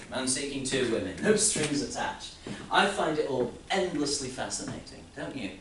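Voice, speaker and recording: A man's voice: mid-range voice, a bit bright. A man playing an overbearing, needling boss in a rambling theatrical monologue full of rhetorical questions. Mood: musing. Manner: rambling, insistent, with rhetorical questions and sudden swells. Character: Elwood, overbearing, needling male boss fretting about the young. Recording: roomy, distant mic, faint background noise.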